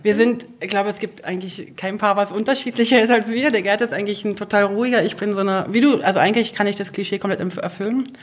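A middle-aged woman from Berlin speaks with a Berlin accent, loud and talkative in casual chat. Her voice is husky, and her pitch sits in the middle. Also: fairly even intonation, fast talker, hardly any pauses. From Berlin, Germany. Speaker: host to guests.

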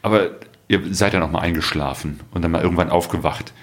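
A man's voice: low-pitched, fast. A man hosting a podcast, asking questions in a casual, conversational interview style.

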